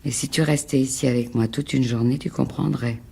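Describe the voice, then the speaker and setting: An actress playing a frightened, fragile and insistent wife in a French radio drama, speaking in halting, pleading repetitions. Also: female voice, extremely low pitch, steady tone, vocal fry, dark tone, husky, heavily acted. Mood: anxious, insistent. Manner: halting, pleading, repeats words.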